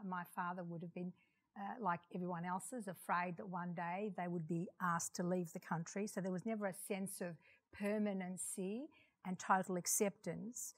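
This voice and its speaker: An older woman, speaking as a panel guest in a reflective, measured way, recorded on a lapel mic in a large indoor atrium. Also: mid-range voice. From Greece. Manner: reflective, measured, gestures while talking. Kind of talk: panel discussion answer.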